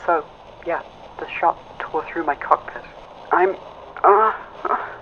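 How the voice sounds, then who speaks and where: A man's voice: fairly high pitch, dull audio, voice pushed up. Man playing a wounded, prickly woman pilot over radio. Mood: anxious, hurting. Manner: clipped, guarded, halting. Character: wounded young female imperial mech pilot, prickly, anxious. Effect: radio filter. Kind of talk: audio drama acting. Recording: fuzzy band-limited radio with faint noise.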